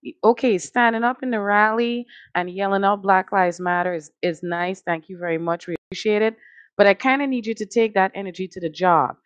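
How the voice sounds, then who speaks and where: A woman's voice: mid-range voice, no room sound. A woman from Trinidad and Tobago, hosting a panel discussion in a passionate, direct way.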